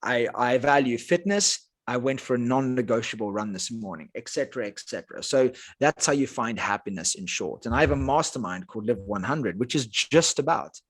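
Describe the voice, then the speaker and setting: A South African man whose first language is Afrikaans talks as a life coach guest on a podcast. His middle-pitched voice swings widely, rising and falling with each point. He sounds energetic and emphatic. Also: a little fast, few pauses. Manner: energetic, emphatic motivational talk. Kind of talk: podcast interview.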